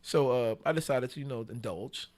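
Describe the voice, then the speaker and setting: A man telling bawdy stories casually as a podcast guest, slangy and animated, acting out what people said. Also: medium pitch, moderate pace. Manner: animated, slangy, with acted-out quotes.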